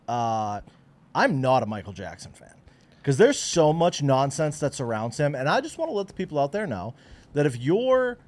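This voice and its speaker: A man's voice: fairly high pitch, big pitch swings, moderate pace. A man co-hosting a podcast, ranting emphatically to listeners and swearing. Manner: emphatic, swears, speaks to the audience. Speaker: podcast co-host. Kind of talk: casual opinionated rant.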